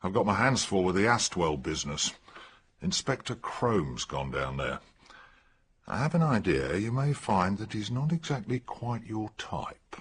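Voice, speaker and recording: An actor playing a gruff, matter-of-fact English police detective with a husky British voice, in a radio drama. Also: male voice, on the low side, sing-song, unhurried, a bit bright, full character voice. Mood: dry.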